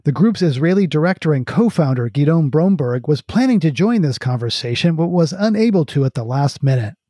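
Bright-voiced male interview host. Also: on the high side, lively intonation, fairly quick, no echo, clean studio sound. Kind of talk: scripted narration and interview.

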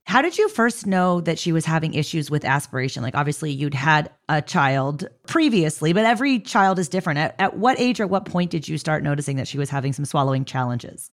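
Female podcast host, lower voice, conversational interviewer who explains and asks detailed questions. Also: sing-song, brisk, hardly any pauses.

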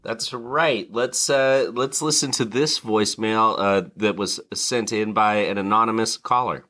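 A man with a slightly low voice hosts a casual podcast. He speaks haltingly, feeling for his words, and the sound is dry with no room echo. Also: fairly even intonation, pushed and bright. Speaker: podcast host.

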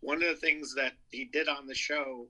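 A man's voice: mid-range voice, fairly even intonation, normal speed, sharp tone. A man contributing to a talk show, reminiscing in an emphatic, anecdotal, conversational way. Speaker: show contributor, entertainment writer.

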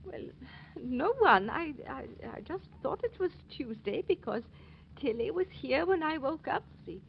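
A trusting, easily muddled aunt from a 1940s radio mystery. She speaks hesitantly in a high, swooping voice. The old recording is muffled and slightly noisy. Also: sing-song, a little slow, vocal fry, exaggerated voice. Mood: puzzled. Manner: hesitant, bewildered. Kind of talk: 1940s radio drama acting.

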